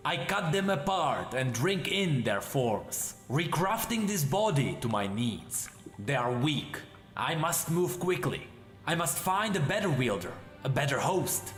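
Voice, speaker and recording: A man voicing an ancient, anguished and ravenous warrior spirit trapped in a blade, in halting, dramatic phrases. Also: mid-range voice, roomy sound.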